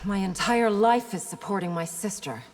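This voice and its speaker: An actress playing a dutiful princess and military commander in a fantasy video game, speaking formally and with poise. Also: female voice, medium pitch, normal speed, lightly acted. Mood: formal, serious. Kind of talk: video game dialogue acting. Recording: long hall reverb, noisy game backdrop.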